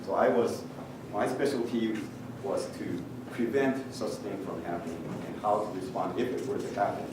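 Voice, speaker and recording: A middle-aged Japanese man speaking English with a Japanese accent, giving a measured technical conference talk with frequent "uh" pauses and a touch of dry humor. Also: on the low side, steady tone. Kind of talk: presentation talk with slides. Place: large conference hall. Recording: echoey hall picked up from far off with noise.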